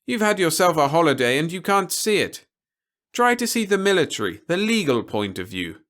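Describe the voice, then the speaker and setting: A man performs a stern, articulate official from an audiobook story. The voice is lifted well above a middle register, with deliberate, emphatic and formal delivery, like a lecture to someone stubborn. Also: a little high, big pitch swings, fairly bright tone, pitched above the performer's own voice. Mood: exasperated, insistent. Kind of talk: audiobook character dialogue.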